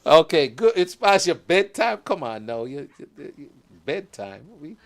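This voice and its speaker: A man hosting a live talk show, energetic and hyping up his guest and partner stations. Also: a little high, a little slow.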